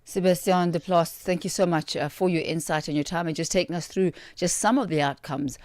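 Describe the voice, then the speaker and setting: A woman radio host with a notably low voice puts long, formal questions to her guest. Her voice is dry and close to the mic, without room echo. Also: brisk, darkish tone. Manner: formal, with filler "uh" and "um". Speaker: radio business show host.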